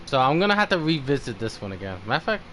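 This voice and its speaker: A man hosting a game livestream in casual chat, thinking aloud in a halting way. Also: medium pitch, very animated, background noise.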